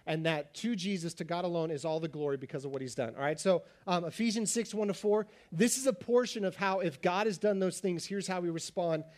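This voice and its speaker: A man preaching a sermon as pastor, talking fast and animated, his voice slightly raspy. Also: high-pitched.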